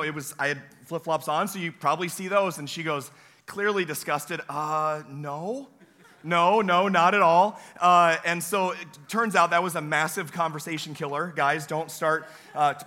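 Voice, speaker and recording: A young man preaching from a church pulpit, his voice hoarse and weaker than usual. He tells folksy, self-deprecating stories with plenty of filler sounds, in a bright, forceful tone pitched a little above typical for a man. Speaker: campus pastor. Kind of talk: sermon. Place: church sanctuary.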